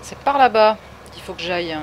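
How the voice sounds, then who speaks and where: A woman's voice: medium pitch, expressive, normal speed, low-quality recording. Parisian woman, casual stream talk, answers chat, laughs a lot, faint noise behind. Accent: Parisian French. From Paris, France. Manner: chatty, answers chat viewers, laughs often. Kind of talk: casual live gameplay commentary.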